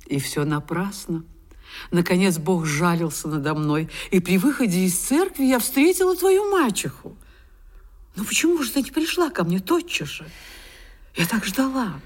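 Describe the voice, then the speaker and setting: An actress playing a kindly, emotional widowed countess in a Russian radio drama, with a tender, effusive, theatrical delivery. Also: female voice, fairly low pitch, big pitch swings, moderate pace. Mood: relieved, eager.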